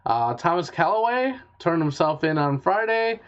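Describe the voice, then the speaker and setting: A man's voice: a little high, big pitch swings, moderate pace. Hesitant male host on lo-fi audio. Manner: hesitant, many ums and restarts. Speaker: radio show host. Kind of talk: casual radio show chat, reading news items. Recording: dull lo-fi stream audio.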